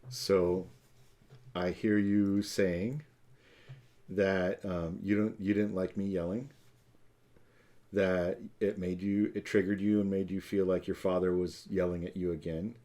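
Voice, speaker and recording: A man co-hosting a relationship talk show, with a booming voice, speaking slowly and deliberately as he mirrors back what his partner said. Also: flat delivery, warm tone. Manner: slow, deliberate mirroring with fillers. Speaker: co-host. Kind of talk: live relationship talk show, role-play of a conflict repair.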